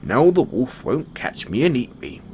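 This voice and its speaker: A man's voice: mid-range voice, huge pitch swings, unhurried, a little hiss or hum, voice pushed up. A man voicing an eager, proud little pig from a storybook, with an emphatic raised voice.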